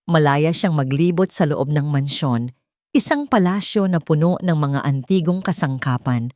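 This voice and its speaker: A woman's voice: sits low, moderate pace, mellow, dry recording, studio quality. A woman storyteller reading a scripted, dramatic romance narration.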